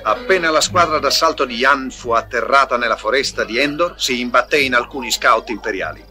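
A man's voice: mid-range voice, a little fast. A man reading scripted narration for an audio storybook. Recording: 1980s storybook record with music. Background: music.

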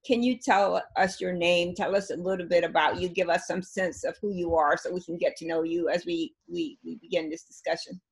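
A woman's voice: fairly low pitch, steady tone, a little fast, hardly any pauses, breathy edge. A woman hosting an interview on a video call from her living room, asking encouraging, affirming questions. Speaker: host. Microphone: video call webcam.

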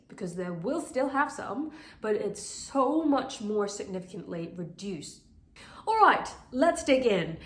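Earnest plant vlogger woman, recovering voice. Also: mid-range voice. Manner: chatty, earnest, with frequent fillers. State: voice still recovering from illness. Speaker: houseplant channel host. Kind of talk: casual product review talking to camera. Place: bright living room full of plants. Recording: roomy, echoey home room.

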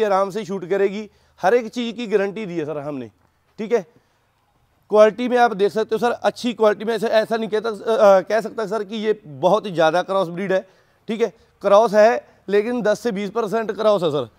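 A man with a notably high voice works as a cattle seller, presenting his stock in an informal sales pitch. He keeps addressing the listener as sir as he talks. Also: moderate pace, darkish tone. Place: dairy farm.

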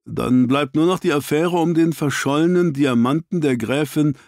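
A man's voice: a little high, normal speed, a little mellow, no echo, voice pushed up, natural voice. Guy playing the detective's earnest doctor pal, formal, explains things, audiobook, dry sound. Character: earnest, well-informed gentleman doctor, detective's companion.

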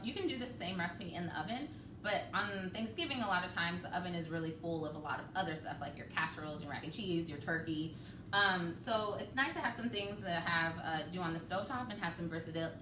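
Chatty woman cooking in a roomy kitchen. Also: mid-range voice. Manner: chatty, relaxed, laughs often. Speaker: cooking show host. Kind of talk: live cooking demo. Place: home kitchen, indoors. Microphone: camera across the kitchen. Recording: roomy, distant, noisy home kitchen.

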